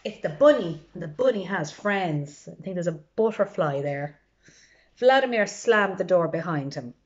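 A woman reading aloud to children in an expressive way, adding chatty asides as a storytime librarian. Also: fairly low pitch, normal speed, muffled recording. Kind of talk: animated children's read-aloud.

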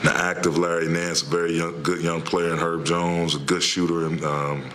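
A middle-aged man with a very low, flat voice answers reporters after a game, starting and restarting his sentences as he searches for words. He speaks into a table microphone in a press room, with a faint hiss of ambience behind him. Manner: halting, many restarts and fillers. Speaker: head coach. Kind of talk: postgame press conference answers. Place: press room in front of a logo backdrop.

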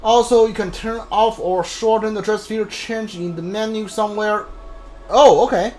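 A man streaming a game with casual, halting commentary, often repeating himself. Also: high-pitched, soft background music. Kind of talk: casual live playthrough commentary. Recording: steady background noise.

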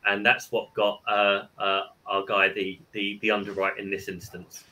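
A man's voice: lowish voice, level tone, moderate pace, bright, forceful tone, a little hiss or hum, rough recording. A man co-hosting a property webinar over a video call, explaining points emphatically. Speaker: webinar co-host. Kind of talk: casual webinar talk.

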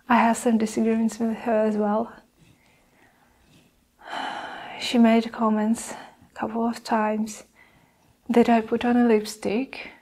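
Guarded nurse under interrogation, played by a young woman: flat intonation, unhurried, measured, self-justifying, for ASMR roleplay. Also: a little high. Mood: evasive. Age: young adult. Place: small indoor room with curtain backdrop. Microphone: clip-on lapel mic.

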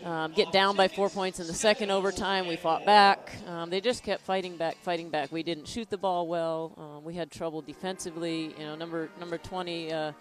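A woman's voice: on the low side, steady tone, brisk, hardly any pauses, poor audio. A tired American woman in her thirties, a basketball coach giving a post-game interview on a headset mic. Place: courtside in an indoor gym.

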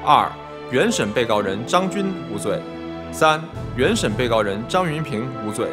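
Male actor as solemn judge, declamatory and measured, expressive pitch swings, strong music underneath. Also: lightly acted. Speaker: judge reading a verdict. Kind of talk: formal courtroom verdict reading.

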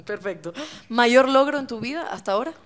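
A woman's voice: on the high side, expressive, measured pace. A middle-aged woman hosting an interview, asking short questions and giving brief affirmations. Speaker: interview host. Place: small decorated studio set. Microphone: stand mic.

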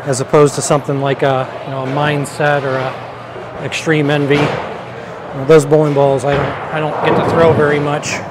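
Man, middle-aged, slightly high-pitched voice, casual reviewer commentary, clear background noise of a bowling alley. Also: little pitch movement, normal speed. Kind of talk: casual product review commentary while demonstrating. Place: large indoor bowling alley. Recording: noisy bowling alley ambience.

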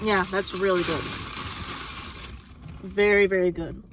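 A woman's voice: medium pitch, fairly even intonation, a little slow, background noise. A young woman in her twenties chatting casually through a food review, filling her talk with "like" and "um", recorded in a parked car. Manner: chatty, lots of "like" and "um". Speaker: food vlogger. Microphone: phone camera on dashboard.

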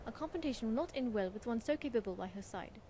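A woman's voice: a little high, animated, quick pace, dark tone, slight background noise, close to an everyday voice. A woman playing a haughty, witty scholar-adventurer in a video game, speaking in a smug, articulate and wry way. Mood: smug, measured. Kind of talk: video game character dialogue.

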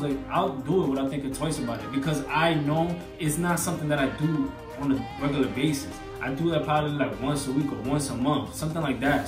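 Young male vlogger, relaxed rambling delivery, slightly high voice, recorded from a distance in an echoey room. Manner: loose and chatty, many fillers and restarts. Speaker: fitness vlogger answering viewer questions. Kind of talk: casual advice talk to camera. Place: cold enclosed porch with plants and a couch. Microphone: far camera on a tripod. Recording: roomy, from off the mic, faint music.

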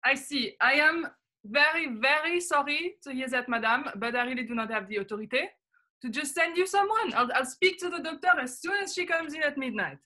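A woman's voice: high-pitched, lively intonation, brisk, pushed and bright. A young woman with a French accent playing a distracted, officious hospital receptionist in a drama, polite but dismissive and hesitant. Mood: apologetic, dismissive. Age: young adult. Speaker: actress. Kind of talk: acted drama over video call. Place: indoors, plain room. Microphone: webcam video call.

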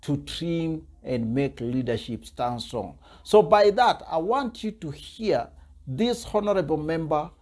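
A man's voice: a little high, lively intonation, unhurried, darkish tone. A man in his thirties hosting a church channel, talking to camera in an emphatic, preacherly way with many fillers. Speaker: host. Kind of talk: commentary to camera. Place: small indoor studio.

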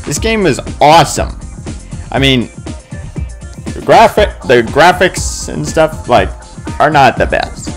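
Slow, rambling male gamer. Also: a little high, sing-song, soft background music. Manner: rambling, hesitant, informal. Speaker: let's play gamer. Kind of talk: casual gaming commentary.

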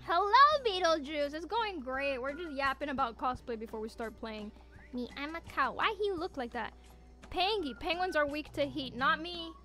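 A woman's voice: high-pitched, lively intonation, normal speed, pushed and bright, faint music behind. A woman livestreaming games, chatting casually and teasingly with viewers, with playful theatrical emphasis. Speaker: VTuber game streamer. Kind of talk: casual livestream chat, reacting to viewers' messages.

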